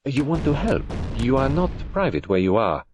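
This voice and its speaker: A man playing a polite, brisk foreign detective with a French-speaking accent and formal, precise phrasing in an audiobook. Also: mid-range voice, very animated, darkish tone, slight background noise, pitched above the performer's own voice, close to an everyday voice. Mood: brisk, urgent.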